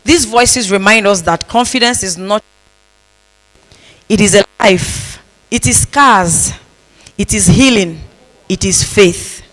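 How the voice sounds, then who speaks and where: A woman's voice: medium pitch, slow, long pauses, fairly bright tone. A woman pastor giving a conference talk, telling stories with humor and repeating phrases for emphasis. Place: conference hall. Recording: long reverb of a large hall.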